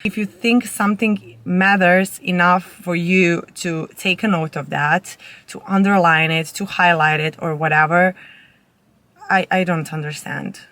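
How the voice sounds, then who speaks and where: Young serbian girl vlogging, native serbian w/ accent, creaky voice, rambly casual chat to camera. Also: on the low side, steady tone, unhurried, fairly bright tone, no echo. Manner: informal, rambling with self-corrections.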